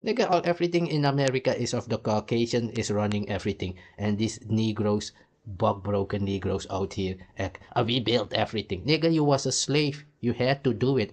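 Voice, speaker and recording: Man, lowish voice, blunt and profane, heated reaction rant. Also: a little fast, darkish tone. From Suriname. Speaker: commentary host. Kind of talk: ranting reaction commentary.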